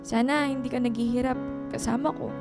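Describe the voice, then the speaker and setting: High-voiced devoted young Filipina daughter acted by a woman. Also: a little fast, close to an everyday voice. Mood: hurt, earnest. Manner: polite, calming, earnest. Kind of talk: Tagalog radio drama acting. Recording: music clearly under the voice.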